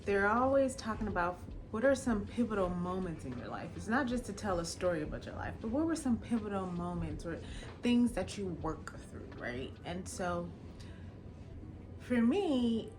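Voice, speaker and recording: A young adult woman, a lawyer from Los Angeles whose first language was Jamaican Patois, tells her own story in a casual, expressive way and acts out the lines of people she quotes. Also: mid-range voice, normal speed, background noise. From Los Angeles, California. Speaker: attorney. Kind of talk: personal storytelling.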